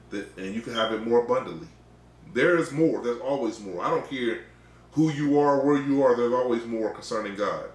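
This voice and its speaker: Echoey American preacher man. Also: medium pitch. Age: middle-aged. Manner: exhorting, emphatic repetition. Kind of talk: informal sermon. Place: small indoor room. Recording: echoey, roomy sound.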